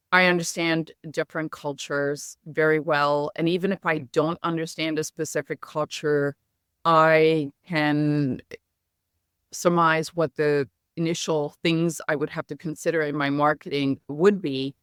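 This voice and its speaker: A middle-aged woman with a near-American accent over her Hungarian first language, speaking in a measured, reflective way. Her voice is smooth and clear, recorded close and dry with no room sound. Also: deep voice, no room sound. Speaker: guest, company founder. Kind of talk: podcast interview.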